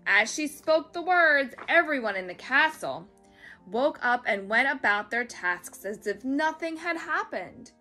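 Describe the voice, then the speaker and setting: A woman reads a story for children in a high, bright voice. Her delivery is dramatic and expressive, with soft music underneath. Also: unhurried.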